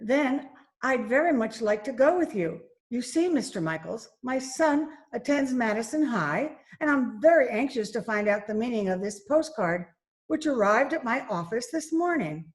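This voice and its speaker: A woman's voice: on the high side, expressive, moderate pace, natural voice. A woman playing a formal, insistent businesswoman and mother, speaking precisely in an old-time radio comedy.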